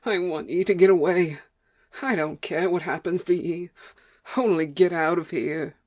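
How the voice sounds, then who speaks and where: Woman acting a cross rural American farm wife, low-pitched. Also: steady tone, moderate pace, darkish tone, natural voice. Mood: curt. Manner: folksy dialect, scolding and complaining. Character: weary, ignorant, grudging farm wife, stubborn and cross. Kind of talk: amateur audiobook play acting.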